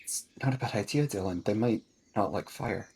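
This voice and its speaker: A man's voice: lowish voice, normal speed, gravelly, darkish tone, husky. A man playing a tabletop roleplaying game, improvising his character's words and actions in casual talk.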